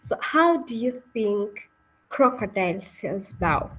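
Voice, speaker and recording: A woman's voice: mid-range voice, very animated, slow, fairly bright tone, poor audio. A woman hosting an interactive online read-aloud session and narrating the story, encouraging and often checking in with her listeners. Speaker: webinar host and story narrator. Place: online video call.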